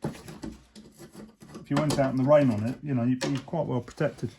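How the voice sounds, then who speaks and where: A man, casually explaining a motorcycle repair as he works, in a relaxed, rambling way with plenty of fillers. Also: mid-range voice, a little slow, gravelly, noisy background. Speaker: motorcycle restorer, channel host. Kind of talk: casual workshop talk-through. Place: workshop or shed.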